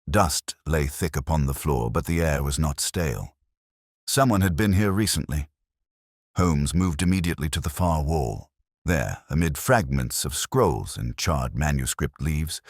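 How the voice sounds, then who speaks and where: A man narrates a detective tale in a very low voice. His delivery is scripted storytelling with lively movement in pitch, recorded close and dry. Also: no room sound. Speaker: audiobook narrator.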